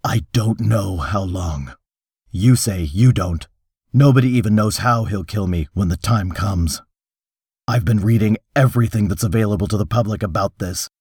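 A man's voice: lowish voice, moderate pace, darkish tone, slightly rough, no room sound, clean studio sound. A man voicing a desperate hunted killer in a story reading, bitter, accusing and demanding.

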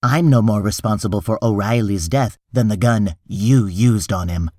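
A man's voice: on the low side, moderate pace, mellow, no room sound, clean studio sound, close to an everyday voice. Man voicing a tense, defensive, irritable official. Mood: cold, insistent. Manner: insistent, exasperated, emphatic.

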